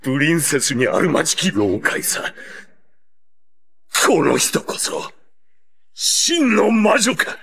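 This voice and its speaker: A man playing a devoted creature henchman in an anime, with a gravelly voice and an archaic, grandiose manner. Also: fairly high pitch, big pitch swings, fairly bright tone, full character voice. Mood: awed, grandiose. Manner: archaic, grandiose, drawn-out delivery. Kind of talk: dramatic anime acting.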